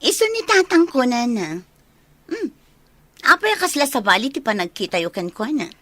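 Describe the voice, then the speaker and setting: A woman's voice: on the high side, very animated, normal speed, pushed and bright, dry recording, full character voice. A woman playing a polite young village daughter with an Ilocano accent in a radio drama. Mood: questioning.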